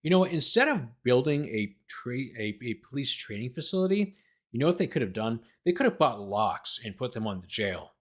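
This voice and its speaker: Male legal commentator, mid-range voice, very animated intonation, reads aloud with wry, sardonic asides. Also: medium pitch, fairly quick. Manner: sardonic asides, breaks off reading to quip. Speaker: host, lawyer commentator. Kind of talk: reading a legal document aloud with off-the-cuff commentary.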